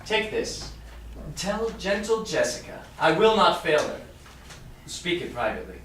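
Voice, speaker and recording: A man playing an eager, lovestruck young gentleman in a staged Shakespeare reading. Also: sits high, big pitch swings, measured pace, exaggerated voice. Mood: eager, fond. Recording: echoey room from far off, background noise.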